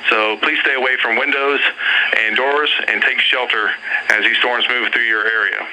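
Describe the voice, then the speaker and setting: Heavy noise covers this weather radio broadcast. A man with a medium-pitched voice gives live severe weather warnings. He speaks haltingly and partly off the cuff, repeating lists and times as an announcer would. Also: steady tone.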